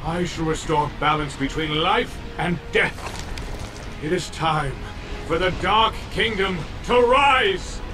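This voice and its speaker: An actor voicing a fanatical, grandiose villain in a game cutscene. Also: male voice, high-pitched, takes it slowly, music in the background. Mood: zealous. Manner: grandiose, zealous proclamations. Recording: distant voice under loud game score.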